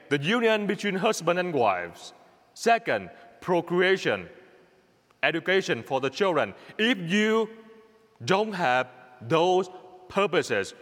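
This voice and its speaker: Vietnamese-accented priest, slow and lively. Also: male voice, fairly high pitch, long reverb tail. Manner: repetitive, emphatic, exhorting, simple halting English. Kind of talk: homily.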